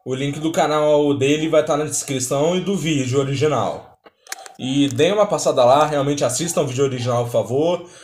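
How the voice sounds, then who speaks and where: A man's voice: on the high side, a little fast, a little mellow, a little hiss or hum. A Brazilian man hosting a reaction video with casual commentary, recorded in a roomy space.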